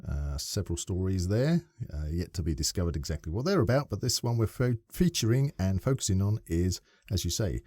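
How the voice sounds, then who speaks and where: A male podcast co-host chats casually and playfully. His voice is low and mellow yet swings widely in pitch. The sound is dry and close. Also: fairly quick, few pauses, no room sound. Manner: animated, playful, reads synopsis aloud.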